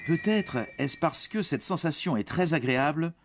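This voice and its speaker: A man's voice: a little high, lively intonation, moderate pace, noisy background. A man giving scripted educational narration for young teens in a reassuring, explanatory manner. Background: background music.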